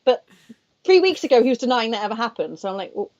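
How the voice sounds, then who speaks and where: A woman's voice: fairly high pitch, very animated, fast, darkish tone. A woman, a professional mahjong player co-hosting a casual stream, chatty and self-deprecating. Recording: dull lo-fi stream audio.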